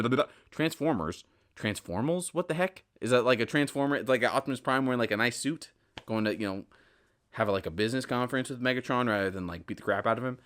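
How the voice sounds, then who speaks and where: Low-voiced rambling young man podcasting. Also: fairly bright tone. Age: 20s. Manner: rambling, self-interrupting with stammered repeats. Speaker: podcast host. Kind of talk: casual solo podcast monologue.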